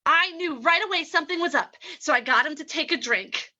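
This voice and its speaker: A woman's voice: sits high, expressive, a little fast, bright, forceful tone, lo-fi sound, exaggerated voice. Woman acting a sultry, scheming radio-play femme fatale. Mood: urgent. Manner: flirtatious, mocking. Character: sultry, scheming, ruthless female poisoner in a crime radio play. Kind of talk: old-time radio drama acting.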